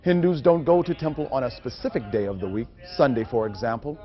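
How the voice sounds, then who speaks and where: A man's voice: mid-range voice, lively intonation, brisk. A man reading scripted narration for a training film. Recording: muffled audio with music behind. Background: music.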